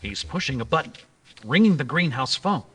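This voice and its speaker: A man's voice: medium pitch, big pitch swings, fairly quick, fairly bright tone. A man playing a brave, decisive adventure hero in an old-time radio drama performed live. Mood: tense, watchful. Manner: crisp, decisive, commanding. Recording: live stage performance in reverberant hall.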